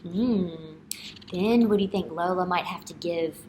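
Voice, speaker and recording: Unhurried woman teacher reading to young children. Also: fairly low pitch, slight background noise. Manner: instructional, expressive, checks understanding with questions and "right?". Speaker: early-grade teacher. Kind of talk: picture book read-aloud with questions to young children. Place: indoor school classroom.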